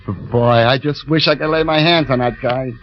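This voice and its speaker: An actor playing a naive, eager young elevator operator in a vintage radio drama, earnest, hesitant and slangy. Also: male voice, mid-range voice, moderate pace, lightly acted. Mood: earnest, wistful. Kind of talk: 1940s-50s radio drama acting. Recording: dull vintage radio recording with music.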